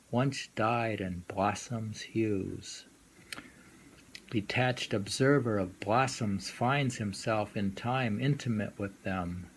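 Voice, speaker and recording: A man reading poems aloud informally and musing on them in a halting way, often repeating lines. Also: mid-range voice. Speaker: poetry reader and commentator. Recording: noisy amateur recording.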